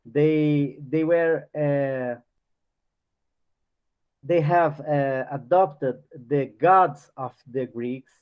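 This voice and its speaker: A man leading a book study class. He reads passages aloud and explains them, halting often and restarting as he searches for words. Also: on the high side, clean tone. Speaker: study group leader. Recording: dull lo-fi recording.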